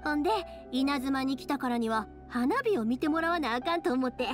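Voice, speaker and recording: A woman voicing a cheerful, caring young fireworks maker with a Kansai accent, chatty and expressive, in an anime game. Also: sits high, a little fast, over music. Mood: friendly. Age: young adult.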